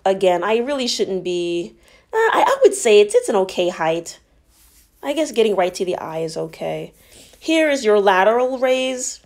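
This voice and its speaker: A woman gives an instructional voice-over for a home workout, speaking at a medium pitch. She sounds like a fitness coach, explaining form and options in an encouraging manner. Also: sing-song, moderate pace, a little mellow.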